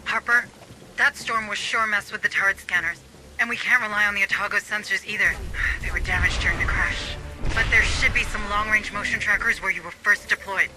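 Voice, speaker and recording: An actor playing a serious, cautious military officer in a video game, briefing marines in an urgent, technical way. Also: male voice, sits high, fairly even intonation, a little fast, background noise, close to an everyday voice. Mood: focused.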